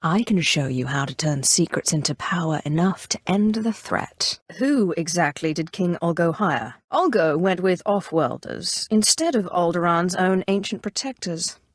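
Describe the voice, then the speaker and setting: An actress playing a cool, ambitious Sith inquisitor in a video game, formal, haughty and commanding in measured, scripted lines. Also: female voice, lowish voice, lively intonation, dry recording, clean studio sound. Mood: cool, confident.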